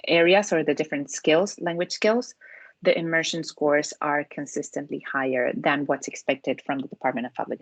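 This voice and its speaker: Woman from spain, spanish is her first language, low voice, walking through slides on a video call, lots of ums, dry sound. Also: no echo. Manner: explanatory, with frequent ums and restarts. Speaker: dual language program presenter.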